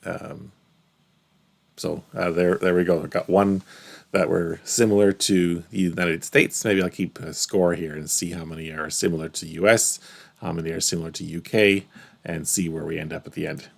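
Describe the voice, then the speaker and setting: A man's voice: steady tone, moderate pace. A man with an English Canadian accent, musing casually as he reacts to a video. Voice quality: gravelly, low. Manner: thoughtful, musing, trails off softly.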